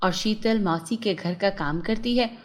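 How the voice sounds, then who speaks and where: A woman narrating a children's cartoon story in an expressive storytelling manner. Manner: slow, lively-pitched storytelling.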